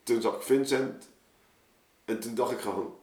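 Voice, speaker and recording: A man's voice: mid-range voice, normal speed, a little mellow. A man chatting casually and explaining his creative process, often digressing and interrupting himself mid-thought. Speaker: artist, story maker on a podcast. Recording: roomy, echoing room sound.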